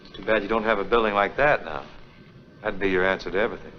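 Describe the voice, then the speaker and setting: An actor playing an easygoing, wry parish priest in a 1940s film, talking in a relaxed, joking way. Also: male voice, on the low side, fast, slight background noise, lightly acted. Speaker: priest, new pastor. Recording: dull lo-fi 1940s film soundtrack.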